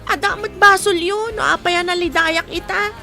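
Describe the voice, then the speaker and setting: A woman's voice: extremely high pitch, steady tone, normal speed, bright, forceful tone, faint music behind, exaggerated voice. A woman playing a bitter, envious schoolgirl in an audio drama, with a sulky, complaining delivery. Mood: resentful. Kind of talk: Ilocano audio drama acting.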